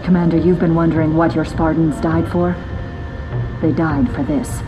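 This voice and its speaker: A woman's voice: low voice, level tone, moderate pace, close to an everyday voice. A woman playing a stern older scientist in a game cutscene, speaking formally and precisely. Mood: urgent. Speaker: scientist character. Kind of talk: dramatic video game cutscene acting. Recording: game soundtrack music behind.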